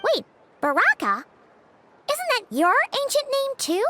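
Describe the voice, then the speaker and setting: A woman voicing a small, childlike floating companion in a video game, excitable and curious, squeaky and full of exclamations. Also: a little slow, heavy background noise, heavily acted. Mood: puzzled. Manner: exclamatory, wide-eyed questioning. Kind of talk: animated video game voice acting.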